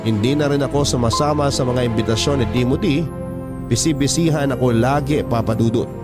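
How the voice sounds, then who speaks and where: A man's voice: medium pitch, a little fast. A man with a Filipino accent narrating a sentimental story as a radio host reading a letter. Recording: music behind the voice.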